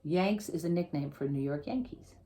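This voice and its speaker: Low-pitched woman reading a picture book aloud. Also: normal speed. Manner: expressive, addresses young listeners directly. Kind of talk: picture book read-aloud with explanatory asides.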